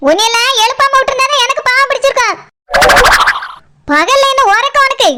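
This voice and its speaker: Squeaky, bossy cartoon house owner, acted by a woman. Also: fairly quick, pushed and bright, slight background noise. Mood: scolding, sarcastic. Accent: Kanyakumari Tamil. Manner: scolding, sarcastic. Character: bossy, irritable woman house owner scolding unwanted guests. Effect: pitched-up cartoon voice. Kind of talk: comic cartoon dialogue.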